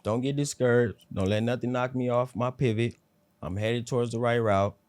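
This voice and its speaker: A man's voice: medium pitch, steady tone, moderate pace, a little mellow. A man with a South Central Los Angeles accent telling personal stories in casual, slangy interview talk. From South Central Los Angeles, USA. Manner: slangy, repeats "you know what I'm saying". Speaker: interview guest, rapper.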